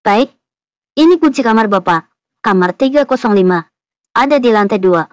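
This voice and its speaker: Casting: actress as polite cartoon hotel receptionist, formal and helpful, highish voice, very dry close sound. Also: female voice, lively intonation, normal speed, no echo, highs cut off, lightly acted. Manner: formal, courteous. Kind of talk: scripted cartoon dialogue.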